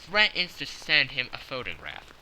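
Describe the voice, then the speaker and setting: Proud thirty-year-old king played by a male reader, agitated, formal, emphatic, very sharp bright tone, storybook drama. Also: medium pitch, wildly swooping pitch, normal speed, slight background noise, exaggerated voice, rough recording. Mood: desperate, resolute.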